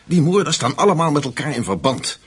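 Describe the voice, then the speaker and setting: An actor playing a brisk, suspicious American intelligence agent in a Dutch radio play, blunt and probing in his talk. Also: a little high, big pitch swings, slightly rough, heavily acted.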